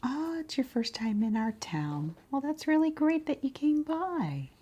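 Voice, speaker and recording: A woman doing a quiet ASMR roleplay as a shopkeeper, speaking softly and breathily in an unhurried way, often slipping into a whisper. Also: high voice, very animated. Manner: unhurried, gentle pauses, murmured acknowledgements.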